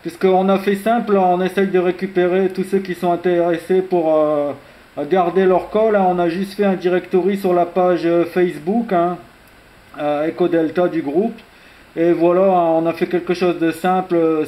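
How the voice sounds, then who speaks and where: A man's voice: high-pitched, steady tone, moderate pace, mellow. A man working as an amateur radio operator, chatting informally in call signs and jargon, friendly and full of filler tags. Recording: roomy, echoing radio contact audio.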